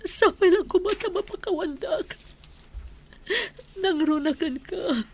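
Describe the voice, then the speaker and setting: Woman acting a high-voiced weeping mother. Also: lively intonation, takes it slowly, darkish tone, slight background noise, full character voice. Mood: tearful, pleading. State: crying. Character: sorrowful mother pleading with her estranged children. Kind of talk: radio drama acting.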